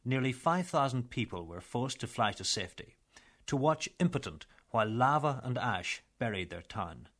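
A man presenting a documentary, narrating in a measured, formal way and interviewing a local woman. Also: medium pitch, lively intonation, fairly bright tone.

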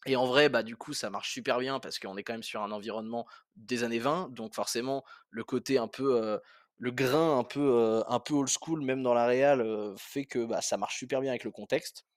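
A man's voice: mid-range voice, normal speed, no echo, clean studio sound. A young man hosting a podcast, reviewing a film in a casual, rambling way with many fillers and restarts. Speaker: podcast host.

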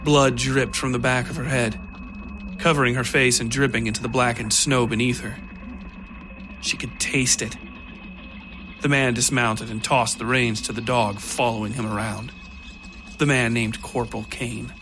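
A man narrating scripted dark fiction as the storyteller of a horror podcast. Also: medium pitch, steady tone, moderate pace, long pauses. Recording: music under the voice.

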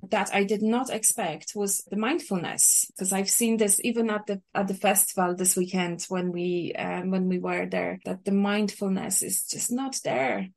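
A woman's voice: medium pitch, steady tone, moderate pace, gravelly, few pauses. A woman from Poland with a Polish accent, answering interview questions thoughtfully with frequent hesitations. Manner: thoughtful, hesitant, many uhs. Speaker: guest, sewing teacher.

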